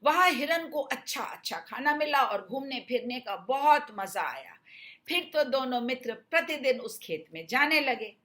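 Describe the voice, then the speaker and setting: Female children's storyteller: high-pitched, very unhurried, explanatory storybook narration. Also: lively intonation, sharp tone.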